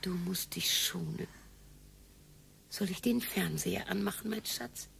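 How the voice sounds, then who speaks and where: An older actress playing an anxious, doting retired wife who fusses and often whispers tenderly in a radio play. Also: female voice, on the low side, measured pace, vocal fry, a bit bright, a little hiss or hum, exaggerated voice.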